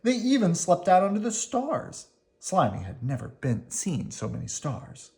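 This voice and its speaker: A woman narrates a children's picture book at a slow pace. Her delivery is expressive and dramatic, with pitch that rises and falls widely over a slightly low base. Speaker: storybook reader. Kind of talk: children's read-aloud narration.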